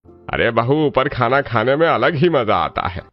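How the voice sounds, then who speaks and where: A man's voice: mid-range voice, very animated, a little slow, dull audio. A man playing an older, comfort-loving father-in-law in a cartoon story. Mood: demanding, pleased.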